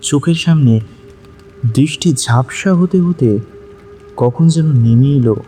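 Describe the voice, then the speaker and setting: A man's voice: medium pitch, a little slow, mellow. A man narrating a scripted dramatic audio story. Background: background music.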